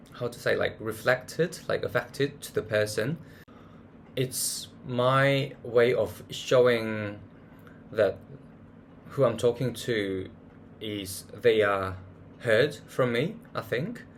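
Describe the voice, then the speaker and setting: Rambling man talking in a low voice. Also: a bit bright, a little hiss or hum. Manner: rambling, searching for words with many "like"s and restarts. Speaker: vlogger. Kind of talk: casual monthly recap vlog.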